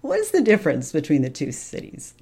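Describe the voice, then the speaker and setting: Lower-voiced woman asking interview questions. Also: huge pitch swings, brisk, warm tone, breathy edge. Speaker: podcast interviewer.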